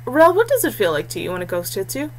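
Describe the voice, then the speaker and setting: A woman's voice: mid-range voice, fast. A woman voicing a blunt, grim and wary young male warrior in a fantasy audiobook. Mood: troubled. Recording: clear steady background noise and hum.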